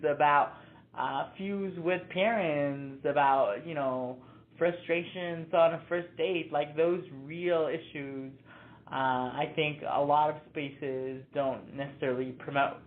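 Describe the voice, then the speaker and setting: A young man raised in Mexico answering interview questions earnestly and thoughtfully, with many fillers and restarts. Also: fairly high pitch. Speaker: interview guest, nonprofit founder. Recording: tinny phone-like line.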